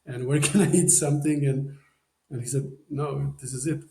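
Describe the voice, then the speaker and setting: Man, Polish accent, gravelly mellow voice, rambling fillers, echoey room. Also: mid-range voice, steady tone, normal speed. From Poland. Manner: rambling, many "you know" fillers, non-native phrasing. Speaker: podcast guest. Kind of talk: casual storytelling.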